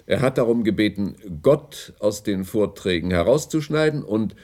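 An actor playing a middle-aged broadcasting director in a radio play, formal and measured, with patronising authority. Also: male voice, lowish voice, animated, dark tone. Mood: patronising, official. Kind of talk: German radio play dialogue.

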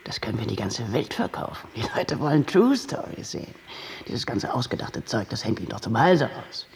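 An actor playing a pushy, grandiose and excitable TV producer in a German radio play. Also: male voice, fairly quick, vocal fry. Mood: grandiose pitching. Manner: grandiose sales-pitch talk, emphatic. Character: slick sensationalist TV producer, pushy, easily panicked man.

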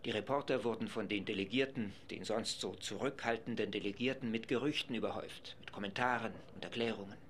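A man's voice: fairly low pitch, brisk, few pauses, a bit bright, a little hiss or hum. A man speaking as a live radio reporter, describing events in an assembly hall from the press gallery. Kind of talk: live radio commentary, scripted.

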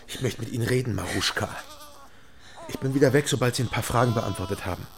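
A man's voice: mid-range voice, fast, vocal fry, natural voice. An actor playing a terse young man, a guarded and insistent former detective, in an understated radio crime drama style. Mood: insistent, probing. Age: 20s. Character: terse, probing young East German ex-detective, reformed drinker. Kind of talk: naturalistic radio crime drama acting, some narration.

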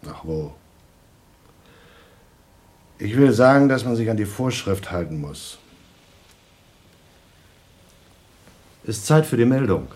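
A man's voice: on the low side, very animated, a little slow, a little mellow. A man playing a dutiful, sober astronaut on a moon base in a radio play, speaking in a matter-of-fact, wry manner. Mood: subdued, wry.

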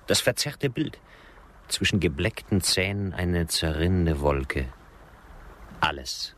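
A man's voice: sits low, fairly bright tone, full character voice. A male actor playing a melancholy, brooding frontier henchman with a conscience in a German radio drama.

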